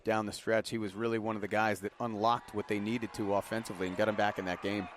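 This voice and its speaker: A man's voice: fairly low pitch, flat delivery, fast. A man giving live color commentary on a basketball broadcast, breaking down plays in a conversational, colloquial way. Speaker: color analyst. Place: indoor basketball arena. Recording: live arena broadcast with game noise.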